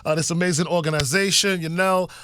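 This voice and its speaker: A man's voice: fairly high pitch, fairly even intonation, fast, a bit bright, smooth, no room sound. A man hosting a casual, upbeat interview. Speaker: radio podcast host interviewing guests.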